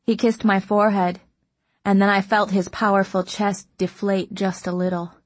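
A woman narrating a novel in the first person, reading softly and intimately at a measured pace. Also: mid-range voice, little pitch movement, no echo. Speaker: audiobook narrator.

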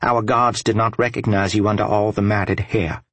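A man voicing a gentle, earnest religious woman in an audiobook story. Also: lowish voice, fairly even intonation, normal speed, no echo.

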